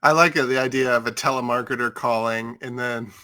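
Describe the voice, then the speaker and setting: A man's voice: mid-range voice, steady tone, brisk, bright, forceful tone. A man chatting informally as a podcast regular, thinking aloud and telling anecdotes. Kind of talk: casual chat, anecdotes.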